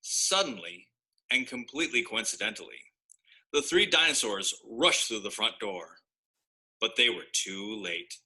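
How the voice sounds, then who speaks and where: A man's voice: fairly low pitch, lively intonation, measured pace, bright, forceful tone, low-quality recording. A man narrating a children's story expressively, with wry, mock-innocent asides.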